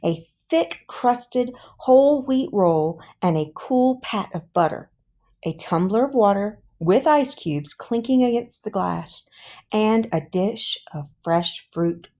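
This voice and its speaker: A woman reading a children's book aloud as an audiobook, with deliberate, expressive phrasing for young listeners. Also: mid-range voice.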